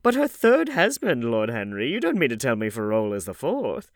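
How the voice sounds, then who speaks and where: A man voicing a lively, gossipy old aristocratic hostess in a theatrical, scolding, exclamatory way for an audiobook. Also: mid-range voice, normal speed, no echo, studio quality. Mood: scandalized, curious.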